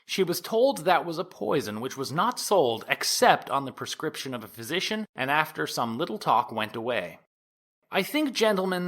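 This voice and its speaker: A man playing an earnest 1890s prosecutor who delivers a formal courtroom opening to a jury in deliberate, emphatic phrases, as in an audio drama. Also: medium pitch, a bit bright.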